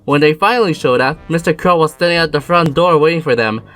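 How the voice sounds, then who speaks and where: A woman's voice: deep voice, fast, a bit bright. A woman reading scripted storybook narration as the narrator. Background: faint music.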